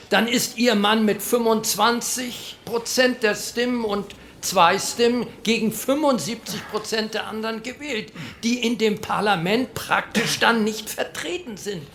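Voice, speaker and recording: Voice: mid-range voice, lively intonation, a little slow, a bit bright, slight background noise. A lecturing committee member. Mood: didactic. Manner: lecturing, lays out a worked example step by step. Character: postwar committee member explaining the vote, didactic. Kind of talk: radio drama, committee debate.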